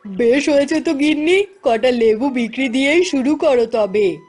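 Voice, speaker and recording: A woman's voice: high voice, moderate pace, a little mellow, breathy edge, faint music behind. A woman voicing a poor, weary male village grocer in a cartoon.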